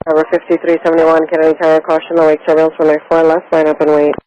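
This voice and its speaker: A man gives tower instructions in clipped, standard radio phraseology. His voice sits a bit high and stays flat and even, with little rise or fall. He reels off the clearances at a quick, businesslike clip. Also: highs cut off, a little hiss or hum. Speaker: airport tower air traffic controller.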